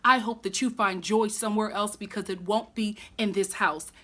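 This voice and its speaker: A woman recapping a reality show in a casual, chatty vlog, animated and quick to laugh, still getting over the flu. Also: medium pitch. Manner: animated, laughs often, sassy asides. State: recovering from flu.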